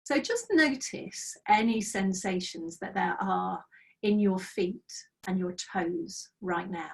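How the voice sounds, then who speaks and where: A middle-aged woman leading a guided mindfulness practice over a video call, speaking slowly and soothingly with gentle pauses, her voice slightly croaky. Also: medium pitch, far from the mic, poor audio. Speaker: mindfulness trainer. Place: small office with bookshelves, indoors. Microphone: laptop webcam on a video call.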